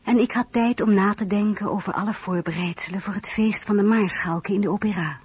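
An actress playing a composed, polite young marshal's wife in an audio drama, formal and restrained. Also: female voice, mid-range voice, brisk, a little mellow, husky, no room sound. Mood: reflective. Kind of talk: audio drama narration and dialogue.

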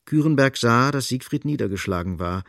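A male actor as the sober narrator of a literary radio play, speaking in deliberate, emphatic short sentences. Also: mid-range voice, animated, vocal fry, a bit bright, no room sound, studio quality. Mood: grave, insistent.

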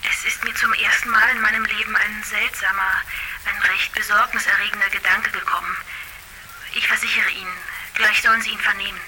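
Woman playing young lady, audio drama, grave, formal, self-important, flat intonation, noisy ambience. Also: medium pitch, fairly quick, close to an everyday voice. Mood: grave, wistful. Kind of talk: German audio drama dialogue.

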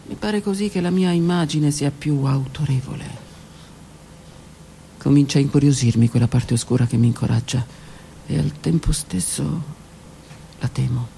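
A woman reading her own literary text aloud on the radio, in a slow, intimate voice that often drops to a whisper. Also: extremely low pitch, gravelly, a little hiss or hum. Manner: slow, intimate, hushed delivery with whispered words. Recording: radio broadcast with faint music.

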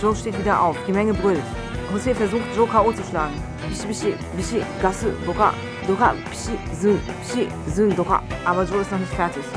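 A woman's voice: medium pitch, fairly quick, over loud music. A woman giving dramatised radio narration, punctuated with sound-effect words.

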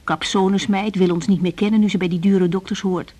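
An actress playing an earnest, weary young doctor in a radio drama, speaking in short reflective phrases. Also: female voice, mid-range voice, steady tone, brisk, warm tone, lightly acted. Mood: wistful. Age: young adult. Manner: reflective, intimate, clipped phrases. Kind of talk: radio drama acting with diary-like monologue.

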